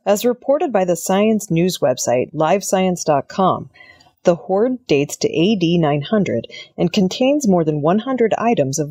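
Low, husky female news reader. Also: dark tone, no echo, clean studio sound. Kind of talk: scripted news narration.

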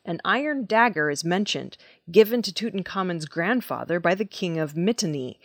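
Lively-toned woman reading the news, dry studio sound. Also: lowish voice, no echo. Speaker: news presenter. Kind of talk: scripted news narration.

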